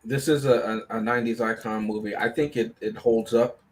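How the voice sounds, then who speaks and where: A man on a movie review panel, explaining and discussing a film casually in a measured, deliberate way. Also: on the low side, fairly even intonation.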